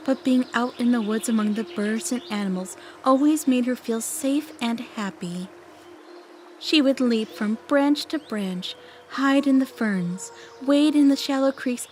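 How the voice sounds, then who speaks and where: Woman acting mysterious big sister, higher voice, measured storytelling, audio drama, faint music. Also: darkish tone, natural voice. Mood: gentle narrating. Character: calm, mysterious big sister, fond of eerie tales.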